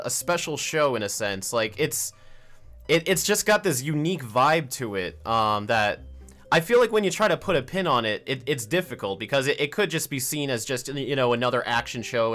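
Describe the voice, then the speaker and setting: A man's voice: mid-range voice, lively intonation, a little fast, fairly bright tone. A man in his twenties hosting a casual fan interview, informal and a little hesitant, repeating words as he phrases thoughts. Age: 20s. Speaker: interviewer, fan show host. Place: home office.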